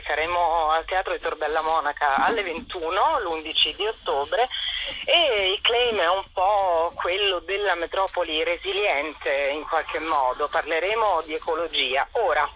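A woman in a conversational radio interview, speaking as a guest in an expressive, reflective, self-correcting manner. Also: on the low side, moderate pace, slight background noise. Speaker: theatre collective member, interview guest. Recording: dull telephone-like radio line.

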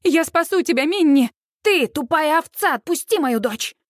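A woman voicing a frantic, hot-tempered young mother in an audiobook, yelling hysterically. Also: high voice, expressive, moderate pace, no room sound, clean studio sound.

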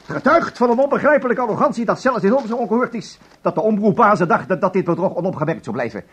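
An actor playing a furious, bullying broadcasting boss in a Dutch radio drama, indignant and theatrical. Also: male voice, high voice, expressive, quick pace, fairly bright tone, breathy edge, exaggerated voice. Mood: outraged. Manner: theatrical, indignant, scornful, reading out with biting emphasis.

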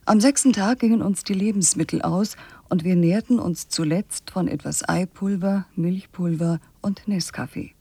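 A husky, gravelly presenter's voice, dark and dry in a close studio sound. The scripted radio introduction is delivered in a measured, sober way. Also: mid-range voice, no room sound.

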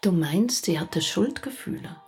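An actress playing a rabbi's gentle, attentive wife in intimate radio drama dialogue, with a husky, creaky voice. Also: female voice, on the low side, animated, measured pace, faint music behind, heavily acted. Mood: pensive, attentive.

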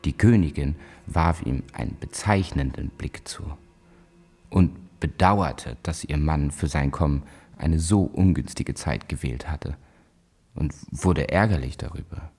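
A man playing a proud, resolute emperor recalling negotiations in a husky, measured voice for a radio drama. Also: rumbling low, a little mellow, heavily acted. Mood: condescending, measured. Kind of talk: dramatized reading of historical memoirs.

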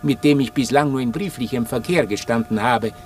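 A man's voice: medium pitch, brisk, faint music behind, close to an everyday voice. A man acting in a German radio play as a pious, sweet-talking young nephew, reading out his flowery, unctuous letters to his aunt. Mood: gushing, pious. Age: young adult. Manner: florid, flowery, unctuous phrasing.

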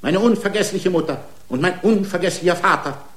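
A man plays an aggrieved cyborg racing driver arguing his own case in a radio drama. His voice is slightly high for a man and rings in a roomy space. He argues in pointed, rhetorical challenges. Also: fairly quick, natural voice. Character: indignant racing driver, part man part machine, defending himself. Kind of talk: German radio play acting. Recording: roomy studio courtroom sound.

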